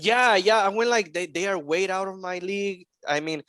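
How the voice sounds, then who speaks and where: A man's voice: sits high, normal speed, pushed and bright, dry recording, clean studio sound. A man whose first language is Spanish, co-hosting a casual school podcast and telling stories animatedly, acting out his own thoughts. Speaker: podcast co-host, school staff. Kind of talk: casual chat.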